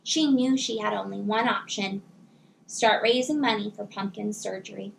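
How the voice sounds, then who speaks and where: A woman teacher reading a children's storybook aloud in a measured, expressive way. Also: mid-range voice, gravelly, slight background noise, rough recording.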